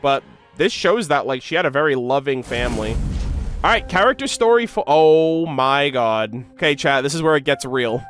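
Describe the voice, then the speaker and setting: Higher-pitched man reacting, music behind. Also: animated, moderate pace, fairly bright tone. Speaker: streamer reacting to game lore. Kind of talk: reading story text aloud with casual reaction commentary.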